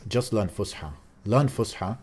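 A young man with a somewhat low voice talks through a podcast conversation at length. He rambles casually, full of "like" and "um", restarting his sentences as he goes. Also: expressive, a little slow, a little mellow, slightly rough. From Algerian. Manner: talkative, many fillers and restarts. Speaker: podcast co-host.

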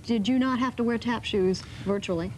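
A chatty morning-show host, a woman with a high, bright voice, questions her guests in a TV studio. She teases them lightly while staying curious. A faint hiss runs underneath. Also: brisk, rough recording. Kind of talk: studio interview. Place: TV studio set, seated on a couch.

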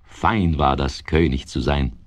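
A man narrating a children's radio play like a storybook, with measured, dryly amused formality. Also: a bass voice, no echo.

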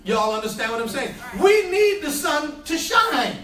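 A man in his thirties with a New York accent, preaching a loud sermon on a church stage into a handheld mic and calling on the congregation. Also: very high voice, very animated, a little slow. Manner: shouting, preaching with call-and-response. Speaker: pastor. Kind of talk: energetic sermon. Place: church stage, large room. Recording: echoey hall from far off.

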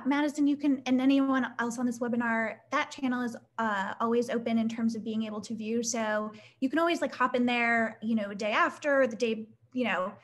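A woman's voice: on the high side, fairly bright tone. A young woman hosting a casual online Q&A webinar, upbeat and chatty with lots of fillers, heard through a laptop mic on a video call. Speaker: webinar host reading audience questions. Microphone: laptop webcam mic on a video call.

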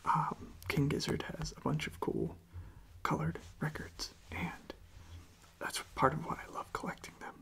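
A young man speaking in a hushed, breathy, mostly whispered ASMR style, unhurried and halting, close to the microphone. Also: a little high, huge pitch swings. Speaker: ASMR video creator. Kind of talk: soft-spoken ASMR.

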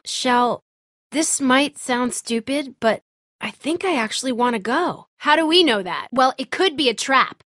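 Game role: blunt, sarcastic teen girl survivor, lively and varied delivery, very dry close sound. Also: sits high, no room sound. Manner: blunt, snarky, slangy. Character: tough, sarcastic, blunt teenage girl surviving a zombie apocalypse. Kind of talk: naturalistic video game voice acting.

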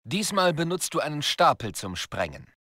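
Game military commander: stern, curt, authoritative, briefing and drilling a soldier. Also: male voice, on the high side, very animated, moderate pace, background noise. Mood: brisk, instructing. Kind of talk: video game dialogue, tutorial instruction.

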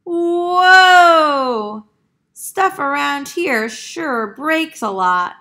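An excitable duck from a picture book, voiced by a woman reader. The voice sits high and bright, with cheeky exclamations and a slightly wild temper. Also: drawn-out words, full character voice. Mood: startled. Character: excitable green dancing duck, a little wild.

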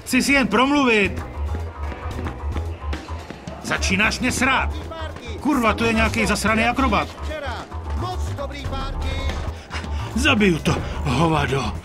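A menacing mob enforcer in a crime game. His voice sits high and moves around a lot, coarse and short-tempered. Music plays behind him. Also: expressive, pauses often. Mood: furious. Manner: coarse, threatening. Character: hot-tempered foul-mouthed mobster, menacing enforcer. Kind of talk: dubbed game cutscene acting.

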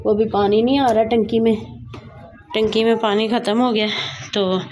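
A woman's voice: on the high side, flat delivery, normal speed, darkish tone, faint music behind. Chatty woman vlogging chores. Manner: chatty, narrating chores step by step. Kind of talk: casual home vlog narration. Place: small house courtyard and rooms, indoors and out. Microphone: phone held at arm's length.

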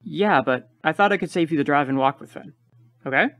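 A worried, loyal teenage boy played by a man in an audio play. His voice is fairly high for a male and he talks fast, sounding hesitant. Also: fairly bright tone. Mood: offering help. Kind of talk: audio drama acting.

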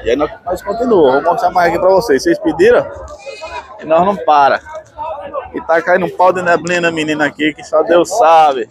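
A man's voice: on the high side, brisk. A man from Pernambuco, vlogging and chatting with sellers at an outdoor livestock market. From Pernambuco, Brazil. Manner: chatty, friendly, repeats answers back. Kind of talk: casual on-the-spot interviews. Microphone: handheld mic held to guests. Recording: outdoor market with crowd noise. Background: crowd chatter and animals.

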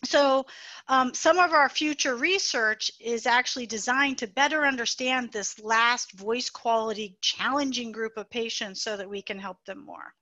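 Bright high-voiced woman lecturing. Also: moderate pace, no room sound. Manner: explanatory, uses plain comparisons. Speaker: voice doctor presenting. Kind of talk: webinar lecture. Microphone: video call.

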